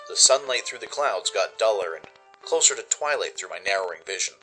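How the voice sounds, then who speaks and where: A man's voice: on the low side, bright, forceful tone, poor audio. A man giving dramatic first-person scripted narration as the narrator of a radio play.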